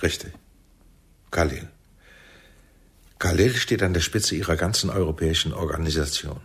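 An actor playing a paternal, persuasive intelligence chief in a radio play, theatrical, with a deep husky voice. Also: male voice, moderate pace, fairly bright tone, heavily acted. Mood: grave, persuasive.

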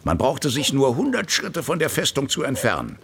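A gruff veteran officer of about fifty in a radio play. He is blunt and full of contempt, and his pitch swings widely. A noisy scene surrounds the voice. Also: huge pitch swings, normal speed, a bit bright, full character voice. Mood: grumbling, reminiscing. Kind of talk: radio drama acting.

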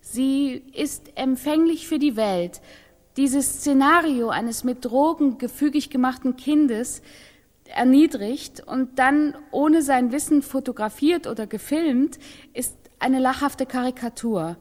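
Woman testifying, radio drama, high voice, slow, deliberate, long hall reverb. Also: a little mellow. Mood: measured, assured. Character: woman giving a slow, careful, prompted promotional testimony. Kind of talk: radio play acting, measured monologue.

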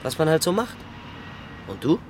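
A man's voice: fairly high pitch, big pitch swings, moderate pace, slight background noise. An actor playing an earnest, helpful young man in a German radio drama, casual and eager to explain.

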